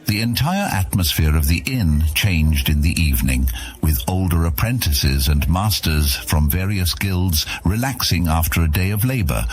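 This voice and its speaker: A man's voice: deep voice, animated, normal speed, faint music behind. A man narrating a fantasy audio serial as a dramatic, scripted story.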